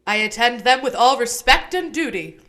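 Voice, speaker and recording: A bold, courteous young challenger in a Shakespearean radio drama. The voice is high and forceful, with lively intonation. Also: female voice, moderate pace. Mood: earnest, bold. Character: bold young male wrestling challenger, respectful. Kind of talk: Shakespearean radio play acting.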